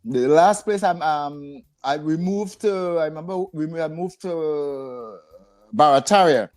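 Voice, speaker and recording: Older Trinidadian man, fairly high voice. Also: measured pace, a little mellow. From San Fernando, south Trinidad. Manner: chuckles often, conversational reminiscing. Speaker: podcast guest, retired rehabilitation director. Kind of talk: casual storytelling interview.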